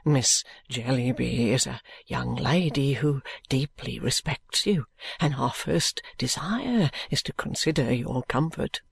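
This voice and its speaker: Meek young son for an audiobook, acted by the narrator: lowered voice, slow, submissive, earnestly pleading. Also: creaky, breathy edge, no echo, exaggerated voice. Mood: submissive, anxious. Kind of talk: audiobook character voice.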